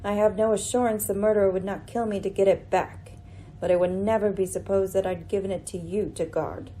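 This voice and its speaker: Woman acting a composed, mellow-voiced lady detective. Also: mid-range voice, fairly even intonation, a little fast, a little hiss or hum, lightly acted, poor audio. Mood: solemn. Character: composed, shrewd woman detective, commanding and theatrical. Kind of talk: amateur radio mystery acting.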